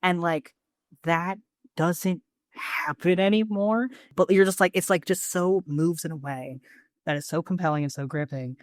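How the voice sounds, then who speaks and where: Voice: medium pitch, a little slow, no room sound, clean studio sound. A podcast co-host chatting casually and enthusiastically, with plenty of slang and swearing.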